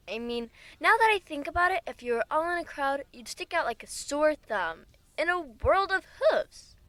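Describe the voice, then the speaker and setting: A woman's voice: high-pitched, sing-song, moderate pace, fairly bright tone, no room sound. A woman voicing a curious, casual, musing female pony in a fantasy story reading.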